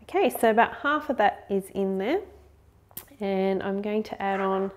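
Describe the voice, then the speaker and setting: A woman's voice: mid-range voice, a little slow. Brief: woman in her 30s, friendly home cook host, chatty step-by-step talk in a kitchen. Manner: upbeat, chatty, explains step by step. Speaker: cooking show host. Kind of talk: friendly recipe demonstration.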